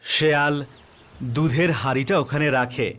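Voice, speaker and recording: A man's voice: on the high side, a little slow, fairly bright tone. A man narrating a scripted children's story as the storyteller.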